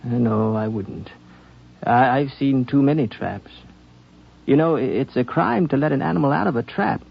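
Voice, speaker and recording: An actor playing a gentle, earnest frontier wanderer in a 1950s radio drama, musing and folksy, with halting phrasing. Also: male voice, mid-range voice, vocal fry, slightly rough. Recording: dull 1950s radio broadcast.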